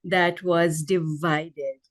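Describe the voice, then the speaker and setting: A middle-aged woman from Pakistan, a guest on a podcast, telling her life story in relaxed conversation and laughing often. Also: fairly low pitch, drawn-out words. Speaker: guest, life coach and author.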